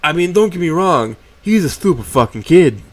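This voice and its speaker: A man doing a loud New York Tyrannosaurus, a jolly, blustering boss who talks in a high, bellowing voice. He brushes off every complaint in a casual, dismissive way. This is storybook-style voice acting. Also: sing-song, normal speed. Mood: stern. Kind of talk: reading a fan story aloud.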